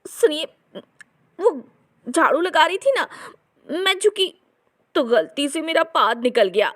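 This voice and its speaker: A woman's voice: high voice, expressive, slow, pitched above the performer's own voice. A woman voicing an embarrassed, meek young daughter-in-law in a family comedy story, with a plaintive, confiding whine. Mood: sheepish. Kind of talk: acted dialogue in a Hindi family comedy story.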